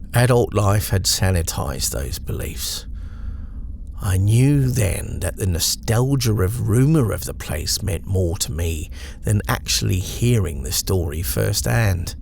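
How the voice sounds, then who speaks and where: British male horror narrator: low, creaky voice, measured and reflective, faint ambience behind. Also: darkish tone, slight background noise. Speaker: storyteller. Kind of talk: first-person horror story narration.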